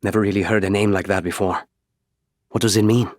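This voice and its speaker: A man voicing a wry, good-natured dropship pilot with expressive, sarcastic delivery in an audiobook. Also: lowish voice, brisk, no room sound, clean studio sound, lightly acted. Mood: warm, amused. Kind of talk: audiobook character voice.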